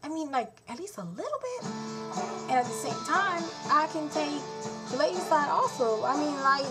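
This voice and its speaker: A woman's voice: high-pitched, sing-song, normal speed, loud music behind the voice. A woman born in Haiti and raised in South Florida, a nurse, venting bluntly and frankly in a rambling casual vlog.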